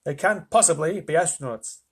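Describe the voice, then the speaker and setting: A man voicing a flustered, excitable storybook astronaut with an urgent, insistent manner. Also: fairly high pitch, moderate pace, breathy edge, pitched above the performer's own voice. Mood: bewildered. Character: flustered, excitable male astronaut Shuckworth alerting mission control.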